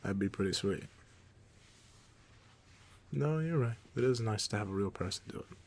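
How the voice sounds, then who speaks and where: Low, creaky playful young villain, acted by a man. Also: animated, moderate pace. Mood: soft, relaxed. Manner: teasing, casual, chuckling banter. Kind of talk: ASMR roleplay, one side of a casual conversation. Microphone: close microphone.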